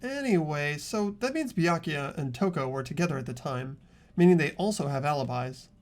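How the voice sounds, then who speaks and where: Man acting animated, earnest teenage boy. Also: a little high, moderate pace, soft background music, natural voice. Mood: reasoning. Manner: earnest, hesitant. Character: earnest, anxious, kindly teenage boy protagonist. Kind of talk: amateur game voice acting and narration.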